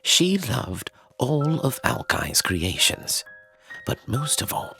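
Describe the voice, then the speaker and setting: An actor playing a grave storyteller of ancient legends, narrating a fantasy audio drama in a solemn, portentous manner. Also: male voice, mid-range voice, very animated, takes it slowly, vocal fry, pushed and bright, faint music behind, exaggerated voice. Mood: solemn reminiscing.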